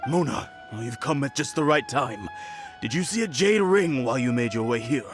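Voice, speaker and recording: A man's voice: mid-range voice, animated, moderate pace, close to an everyday voice. A man playing a meek, polite innkeeper in a video game, talking in a hesitant, apologetic way. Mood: worried. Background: background music.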